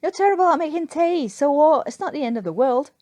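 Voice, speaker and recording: A woman's voice: high voice, lively intonation, quick pace, a little mellow, no echo, studio quality, pitched above the performer's own voice. A woman voicing a cheeky, sickly Cockney man in a story, with teasing, rambling informal talk. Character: cheeky, sickly Cockney Englishman, a wartime airman. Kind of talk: storybook character voice.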